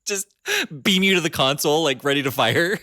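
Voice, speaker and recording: A man's voice: a little high, fairly quick, a little hiss or hum. A man co-hosting a podcast in casual chat, laughing often as he talks. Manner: laughs often, chatty and animated. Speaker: podcast co-host.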